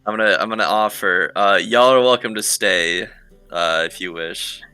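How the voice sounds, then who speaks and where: A man with a low, very sharp-edged voice talks casually as a tabletop game player. The sound is dry, with faint music underneath. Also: moderate pace, clean tone, no echo. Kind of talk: casual chat.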